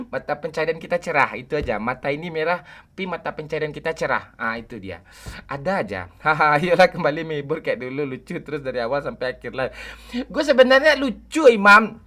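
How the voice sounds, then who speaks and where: A man's voice: a little high, animated, a little fast, sharp tone. A man in his forties on a game livestream, greeting viewers by name and joking with the chat. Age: 40s. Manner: joking, teasing banter with chat. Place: home room at night.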